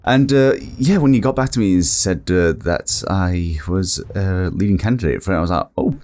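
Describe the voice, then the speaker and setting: A man's voice: sits low, moderate pace, a little mellow. A man answering interview questions in casual chat, with a modest, self-deprecating manner. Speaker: guest voice actor.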